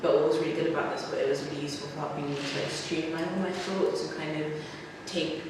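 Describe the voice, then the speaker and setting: A young woman from Nigeria talks through her photography work in a considered, filler-laden way. She sounds far from the microphone, with a long echoing reverb around her. A steady hum of noise sits under her voice. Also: sits low, fast talker. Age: 20s. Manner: thoughtful, many fillers like "kind of". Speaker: photography trainee. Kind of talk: panel discussion answers. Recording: echoey room, distant mic, noisy.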